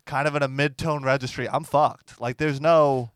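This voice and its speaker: A man's voice: mid-range voice, steady tone, normal speed, dry recording. A young man with a nasal voice co-hosting a comedy podcast, making dry, sarcastic asides in casual banter.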